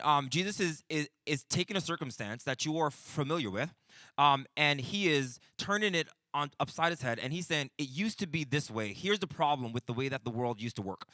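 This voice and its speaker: A man's voice: mid-range voice, bright, forceful tone, no room sound, studio quality. A man in his forties giving an informal, conversational sermon as a pastor leading a teaching session, often checking in with his listeners. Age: 40s.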